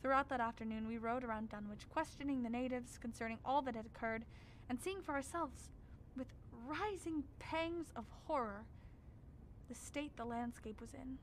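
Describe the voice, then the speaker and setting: A woman playing a grave, dread-filled scholar in a radio drama, speaking in a formal, measured, ominous way. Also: a little high, slight background noise, close to an everyday voice, poor audio. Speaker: actor narrating. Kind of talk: radio drama narration as a written record.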